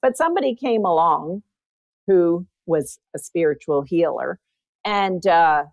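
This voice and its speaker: A woman's voice: fairly low pitch, animated, unhurried, vocal fry, no echo. A woman guest and former teacher with a big voice, telling personal stories in an interview. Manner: big voice, anecdotal storytelling. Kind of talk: interview storytelling.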